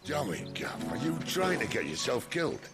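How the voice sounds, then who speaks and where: A man voicing a wry, world-weary middle-aged outlaw leader in a video game, with a deep gravelly voice and a dry, sardonic manner. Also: big pitch swings, moderate pace, over loud music. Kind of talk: dramatic video game voice acting.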